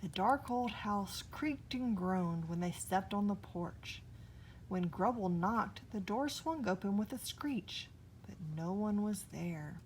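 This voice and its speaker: A woman's voice: mid-range voice, lively intonation, slow, rough recording. A woman narrating a children's story expressively, recorded with noise behind her. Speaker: storybook reader.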